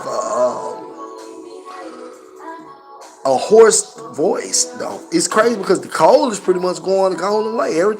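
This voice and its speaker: A man with a Southern Memphis accent, his voice hoarse from a cold and breaking into coughs. He pitches high for a man and talks loose and profane straight to a phone camera. Music plays clearly under him the whole time. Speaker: vlogger reviewing a movie. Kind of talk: casual spoken movie review.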